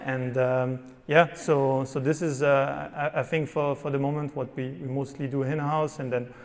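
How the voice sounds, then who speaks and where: A man answering as a panelist, halting, with many restarts and fillers. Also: mid-range voice, level tone. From Switzerland. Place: conference hall on stage. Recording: reverberant conference hall.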